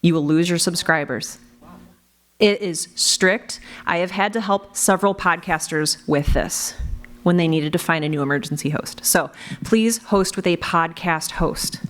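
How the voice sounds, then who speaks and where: A woman's voice: fairly low pitch, measured pace. Emphatic woman advising on a panel. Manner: emphatic, repeats warnings. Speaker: panelist and podcast creator. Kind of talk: unscripted panel talk giving practical advice. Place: convention panel room.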